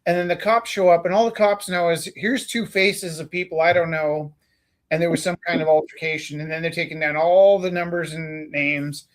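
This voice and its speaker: A man's voice: on the high side, steady tone, normal speed. A man from Canada, a teacher, telling a long story in casual chat, rambling with lots of ums and restarts and the odd swear. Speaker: teacher and stream host.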